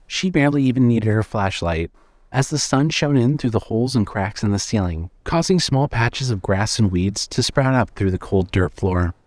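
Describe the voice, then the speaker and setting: A man's voice: fairly low pitch, lively intonation, normal speed, a little mellow, no room sound. Male story narrator, dry studio sound.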